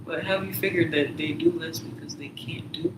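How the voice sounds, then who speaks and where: A man's voice: fairly high pitch, fairly even intonation, moderate pace. A man telling a story in casual chat, rambling with many fillers and sometimes dropping to a whisper. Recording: roomy, distant mic, noisy background.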